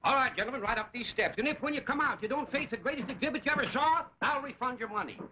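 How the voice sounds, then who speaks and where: Slick carnival barker, very high sharp voice, showy patter, muffled old film sound. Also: male voice, quick pace. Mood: showy salesmanship. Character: slick carnival barker outside a wax museum. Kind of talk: 1940s film serial acting. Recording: dull, faintly noisy 1940s film soundtrack.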